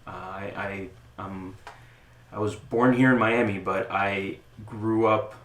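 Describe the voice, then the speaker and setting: Low-voiced man, casual, echoey room. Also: takes it slowly. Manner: relaxed, joking, frequent restarts and fillers. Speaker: interview guest, club founder. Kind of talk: casual conversational interview. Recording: echoey room with faint noise.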